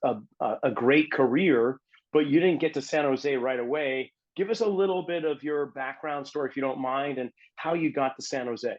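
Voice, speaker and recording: A man's voice: mid-range voice, normal speed, muffled recording. Male podcast host from New England, eager fan, conversational interviewer. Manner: enthusiastic, chatty.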